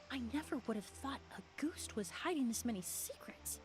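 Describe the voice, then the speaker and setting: A woman's voice: sits high, lively intonation, measured pace, music in the background. A woman voicing a small, curious, easily shocked video game sidekick with an exclamatory manner.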